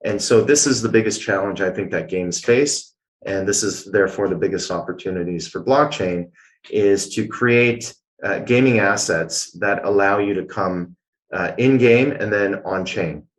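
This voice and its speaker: A man's voice: lowish voice, normal speed, poor audio. A man from Canada, a guest answering interview questions in an explanatory way, with many filler sounds. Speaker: guest, blockchain executive.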